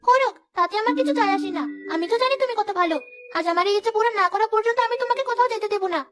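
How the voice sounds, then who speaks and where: Squeaky, inquisitive little girl. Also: very fast, over music. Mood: pleading. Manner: eager, insistent. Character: pleading, inquisitive, loving little village girl. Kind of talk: cartoon character acting.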